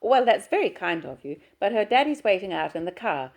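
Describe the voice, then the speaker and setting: A woman reading aloud and voicing a small, bright mother, with a chirpy, gushing manner. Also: medium pitch, very animated, a little fast, pitched above the performer's own voice.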